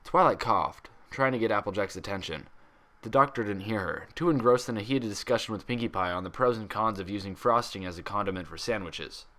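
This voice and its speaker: A man reading a story aloud as an expressive narrator. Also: on the low side, fairly quick. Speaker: fan fiction reader.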